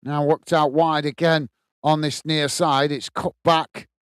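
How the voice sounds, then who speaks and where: A man's voice: a little high, flat delivery, measured pace, smooth, dry recording, clean studio sound. An English man hosting a live football watchalong, calling the play steadily and reading out chat comments. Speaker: watchalong host.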